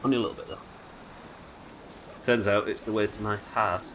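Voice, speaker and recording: A man's voice: fairly low pitch, fairly even intonation, moderate pace, fairly bright tone, a little hiss or hum, poor audio. Nasal, under-the-weather male streamer. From United Kingdom. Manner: chatty, wry, swears casually, muttering asides. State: sick, slightly hoarse. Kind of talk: casual live game streaming chat.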